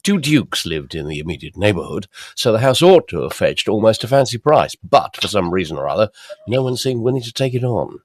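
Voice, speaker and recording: A man playing a presumptuous gentleman house agent, formal and suave, in a period audio drama. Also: on the low side, big pitch swings, brisk, no room sound, studio quality. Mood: matter-of-fact.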